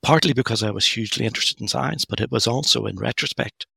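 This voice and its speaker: A middle-aged man from Ireland, speaking as a guest author in a podcast interview, thoughtful and halting with frequent restarts. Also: mid-range voice, creaky, pushed and bright, husky, dry recording, clean studio sound. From Northern Ireland.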